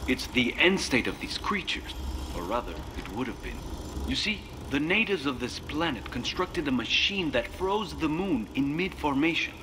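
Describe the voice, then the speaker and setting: An actor playing a solemn researcher who explains things in measured, dramatic fashion in a sci-fi game. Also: male voice, a little high, sing-song, noisy background. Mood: solemn, ominous. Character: grave male researcher leaving a recorded message.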